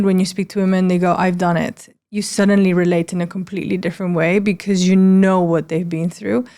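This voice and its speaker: This is a woman from Spain with a voice of average pitch. She talks as a guest in a conversational interview. She comes across as candid, reflective and sincere. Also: darkish tone, clean tone. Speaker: interview guest, PR agency general manager.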